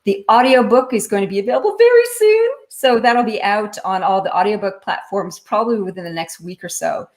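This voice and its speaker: A woman's voice: mid-range voice, expressive, a little fast, few pauses, a little mellow. A woman in a live online interview, explaining her leadership consulting work in an articulate, explanatory way. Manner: articulate, explanatory, lightly laughing. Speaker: guest, leadership author and consultant.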